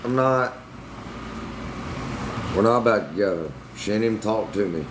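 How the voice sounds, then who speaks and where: A young man with a somewhat low voice, answering his livestream chat bluntly and defensively. A steady air-conditioning hum runs under everything he says. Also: measured pace. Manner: blunt, defensive, Southern-tinged slang. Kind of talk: casual livestream talk to chat.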